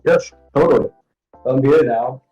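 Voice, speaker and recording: A man answering casually as an interview guest, halting and repeating short phrases as he goes. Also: medium pitch, warm tone, slightly rough, highs cut off. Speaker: interview guest, web series creator. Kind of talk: casual chat. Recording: music playing behind. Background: music.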